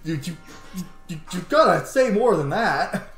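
A man's voice: high-pitched, lively intonation, measured pace, a bit bright. A man in his thirties giving casual let's play commentary on a video game. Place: small room indoors. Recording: roomy sound with game audio behind. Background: game music.

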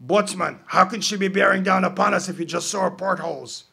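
A man voicing a blustering, exasperated male pirate captain who shouts his lines. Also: sits high, a little fast, vocal fry, husky, voice pushed up, exaggerated voice.

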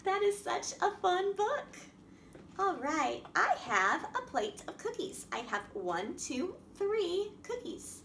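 A middle-aged woman reading a picture book aloud as a children's storytime host, expressive and sing-song, talking directly to young children. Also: extremely high pitch, slow, vocal fry. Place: indoor room at a wooden table by a window. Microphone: camera across the table. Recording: echoey room with background noise.

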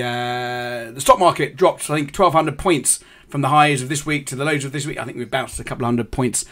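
Halting man explaining markets. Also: mid-range voice, fairly bright tone. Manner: explanatory, halting with many ums and restarts. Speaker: forex trading host. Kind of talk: live market commentary on a stream. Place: small indoor room.

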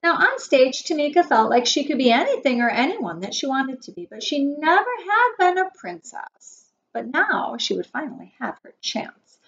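A woman in her forties reading a picture book aloud to preschoolers as their teacher, expressively and with measured emphasis on key words. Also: high-pitched, sing-song, fairly bright tone. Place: small wood-panelled room indoors. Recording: dull webcam audio with faint noise.

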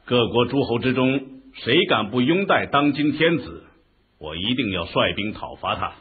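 An actor playing a commanding, gracious ruler of an ancient Chinese state in a historical radio drama, speaking in a stately, measured way. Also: male voice, mid-range voice, sing-song, husky. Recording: dull, lo-fi radio drama recording.

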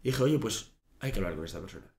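A man's voice: on the low side, rapid-fire, vocal fry. Young man telling stories in an echoey office. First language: Spanish. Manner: animated storytelling, many fillers and restarts. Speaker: podcast guest. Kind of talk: casual interview talk. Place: small office studio. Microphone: desk microphone. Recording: echoey room.

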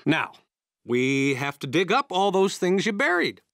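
A man's voice: high-pitched, sing-song, a little slow, gravelly, a little hiss or hum, full character voice. A patient, kindly cartoon man. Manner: patient, explaining gently. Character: kind adult man, patient caretaker of a monkey.

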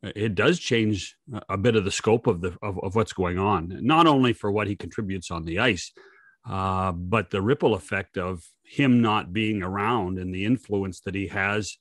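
Low-voiced older man talking sports, dry sound. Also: moderate pace, a bit bright, no room sound. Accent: North American. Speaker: podcast co-host. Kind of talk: casual sports commentary. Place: home room on a video call. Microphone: desk microphone close up.

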